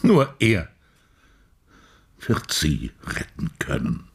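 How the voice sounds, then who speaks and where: Ailing old scientist, radio play, very slow, widely swinging emphasis, breathy, grave. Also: male voice, on the low side, wildly swooping pitch, full character voice. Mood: ominous, urgent. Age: older. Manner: halting, emphatic, oracular. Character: grave, ailing old male physics professor. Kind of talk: German radio play acting.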